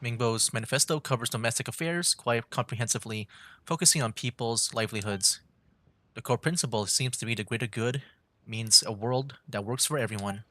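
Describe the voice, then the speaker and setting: A man doing casual livestream game commentary, reading aloud in a halting way. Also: mid-range voice, pushed and bright, slight background noise. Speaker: gaming streamer. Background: game music.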